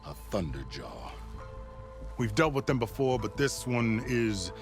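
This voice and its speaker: An actor playing a formal, reverent overseer and advisor to a ruler in a video game, speaking ceremoniously. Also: male voice, on the low side, sing-song, measured pace, faint music behind. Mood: formal, grave. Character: solemn, devout male overseer and deferential advisor to ruler. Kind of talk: dramatic video game acting.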